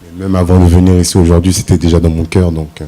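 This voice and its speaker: A man from DR Congo speaks in a very deep, dark voice with little melody. He answers in short, earnest, opinionated phrases. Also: level tone, quick pace. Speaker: pageant contestant. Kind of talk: casting interview answers.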